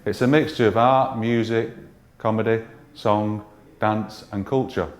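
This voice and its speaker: A man's voice: lowish voice, fairly even intonation, unhurried. A man in his forties talking about a festival he organises. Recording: echoey room.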